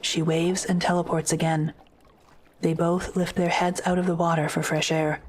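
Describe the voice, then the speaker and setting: A woman narrator with a low, flat-toned voice reads scripted drama narration. She is measured and matter-of-fact. Clear ambient noise sits behind her.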